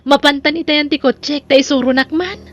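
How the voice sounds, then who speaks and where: High-pitched Ilocano sister-in-law. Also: female voice, steady tone, brisk, fairly bright tone. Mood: eager, sweet. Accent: Filipino, Ilocano. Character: flirtatious woman in love with her brother-in-law. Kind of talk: Ilocano audio drama acting.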